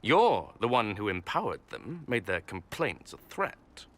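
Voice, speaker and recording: An actor playing a smug, scheming nobleman in a video game, coolly polite, condescending and measured. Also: on the low side, sing-song, fairly bright tone. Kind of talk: video game cutscene acting.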